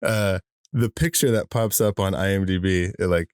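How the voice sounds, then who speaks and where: Male podcast co-host, low voice, dry close sound, rambling storyteller who laughs along. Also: fairly even intonation, normal speed, darkish tone, smooth, dry recording. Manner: rambling with many fillers and restarts, laughs while telling stories. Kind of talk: casual chat.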